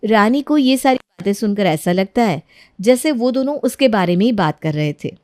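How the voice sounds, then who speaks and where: A woman's voice: mid-range voice, animated, moderate pace, darkish tone, no echo, clean studio sound. A woman giving scripted narration for a family moral story.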